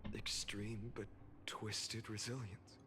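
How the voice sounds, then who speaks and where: A man's voice: fairly low pitch, flat delivery, slow, whispered. A man playing a solemn, determined young game hero with an earnest, dramatic delivery. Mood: grave. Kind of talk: scripted game voice acting. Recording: music behind the voice.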